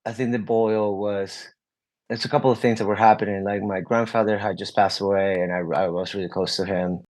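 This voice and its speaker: Low-voiced man, rambling candid talk. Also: steady tone, brisk, a little mellow. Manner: rambling, many "like" fillers and restarts. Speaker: guest musician. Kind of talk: candid interview answers.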